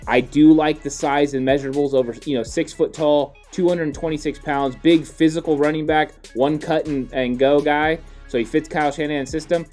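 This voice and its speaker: A man in his thirties, a podcast co-host, explaining football draft moves in a steady, casual way with frequent fillers. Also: fairly high pitch, moderate pace, hardly any pauses, faint music behind. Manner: steady, explanatory, many "you know" fillers. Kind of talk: casual sports analysis chat. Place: home studio, indoors. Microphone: studio mic on boom arm.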